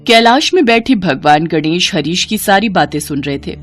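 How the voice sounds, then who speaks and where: Expressive woman storybook narrator. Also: lowish voice, normal speed, faint music behind.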